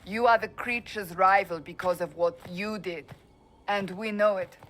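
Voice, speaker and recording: A woman's voice: mid-range voice, fairly even intonation, measured pace, a bit bright, lightly acted. An actress playing a resolute woman advisor of a holy order, speaking earnestly and firmly in a video game cutscene. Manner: earnest, firm, persuasive. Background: faint game music.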